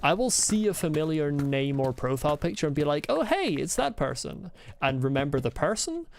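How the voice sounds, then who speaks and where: A man's voice: fairly high pitch, very animated, a little fast, gravelly, slight background noise. A man from Scotland with a mild Scottish accent, chatting loosely on a game stream and answering chat messages with rambling asides and chuckles. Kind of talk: casual live-stream chat while gaming.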